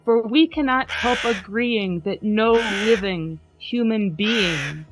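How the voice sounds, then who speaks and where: A woman podcast host giving a dramatic poetry reading, trading lines with a co-reader in a measured way. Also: mid-range voice. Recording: slightly muffled with faint music.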